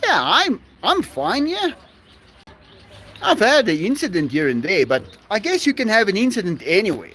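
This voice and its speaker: A man's voice: sits high, wildly swooping pitch, moderate pace, a bit bright, slightly rough. A man from South Africa with a South African accent, chatting casually with viewers on a livestream, laughing often and restarting his sentences. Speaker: livestream host. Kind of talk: casual live-stream chat with viewers.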